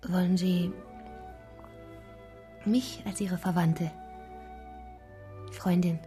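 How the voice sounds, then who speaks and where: An actress playing a gentle, devoted young woman in a radio play, speaking softly and breathily. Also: on the low side, steady tone, extremely slow, faint music behind. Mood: tender. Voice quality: soft, breathy, whispery.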